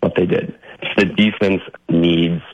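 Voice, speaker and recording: A man calling into a sports radio show on the phone, with a husky voice, rambling and halting. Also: low voice, flat delivery, narrow, tinny audio. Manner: rambling, halting, repeats himself. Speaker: caller. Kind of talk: casual call-in chat.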